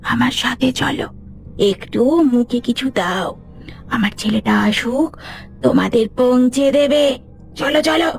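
A woman playing a sly, menacing old ghost crone in a cartoon horror story, with a cackling voice and a drawn-out, taunting manner. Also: on the high side, creaky, faint music behind. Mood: coaxing, sly.

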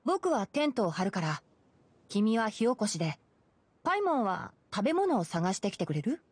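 A woman voicing a gentle, studious young male forest ranger in an anime video game, calm and instructive with a dry wit. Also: medium pitch, normal speed, husky, no echo. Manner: calm, instructive, softly matter-of-fact.

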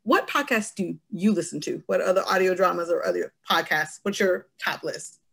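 A woman's voice: fairly low pitch, moderate pace, gravelly, slight background noise. A woman in her thirties moderating an online panel in a chatty, playful, informal way. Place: small room indoors, video call. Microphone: computer video call.

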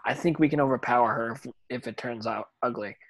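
A young man plays an earnest, determined teenage boy wizard in an amateur table read over video call. The delivery is understated, with an average male pitch. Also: quick pace, natural voice. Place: indoors on a group video call.

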